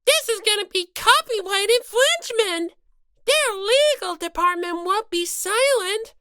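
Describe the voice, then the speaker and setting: Small female rabbit mascot, very high and bright, whiny, indignant, scolding, for an audiobook. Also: animated, a little slow, no room sound, clean studio sound, heavily acted. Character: small squeaky indignant little-sister rabbit mascot, easily flustered. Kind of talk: dramatized audiobook character reading.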